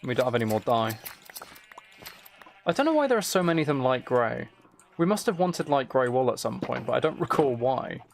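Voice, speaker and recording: A man casually commentating on his game and chatting to viewers, muttering along in a low-key way, close to the mic. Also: very animated, a little fast, creaky, slight background noise. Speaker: streamer playing a game while chatting with viewers.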